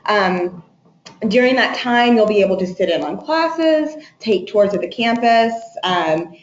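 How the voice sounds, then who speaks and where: Echoey, muffled woman presenter explaining. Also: fairly low pitch. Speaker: admissions staff presenter. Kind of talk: informational webinar presentation. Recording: echoey room, muffled webinar audio.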